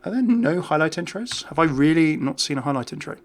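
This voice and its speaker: A man's voice: a little high, animated, brisk, slight background noise. A British man hosting a gaming video with chatty, casual, off-the-cuff commentary. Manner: chatty, easily amused.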